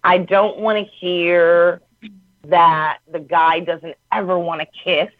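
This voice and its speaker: A woman's voice: on the low side, slow, no echo. Woman talking over a tinny phone line. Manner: emphatic, animated storytelling. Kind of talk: casual chat.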